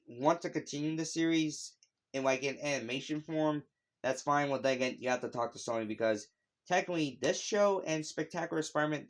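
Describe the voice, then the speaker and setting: A man hosting a casual, unscripted video review, talking in a rambling, conversational way that drifts into asides. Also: fairly high pitch. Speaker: YouTube video host reviewing animated shows. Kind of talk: casual unscripted opinion vlog.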